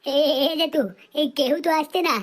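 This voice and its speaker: A woman's voice: high-pitched, fairly even intonation, normal speed, noisy background, natural voice. A woman voicing an earnest, naive, whiny little boy who pesters with questions in a comedy cartoon. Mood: pleading.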